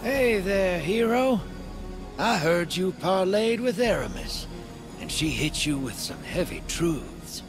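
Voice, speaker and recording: An actor voicing a sly, easygoing drifter rogue in a video game, with a folksy, colloquial drawl. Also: male voice, sits high, big pitch swings, takes it slowly, heavily acted. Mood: amused, teasing. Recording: loud music under the voice.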